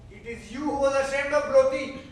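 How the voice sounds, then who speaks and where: An actor playing a sozzled, snobbish son-in-law in a staged play reading, mocking and boastful. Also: male voice, very high voice, a little fast, slight background noise. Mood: angry, contemptuous. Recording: very echoey theater, distant mic.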